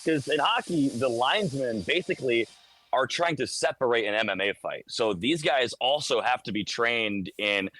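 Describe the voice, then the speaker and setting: A man's voice: medium pitch, lively intonation, moderate pace, a bit bright, rough recording. A man talking casually as a hockey radio announcer, explaining things conversationally in a live game-day report. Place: high broadcast booth inside a hockey arena.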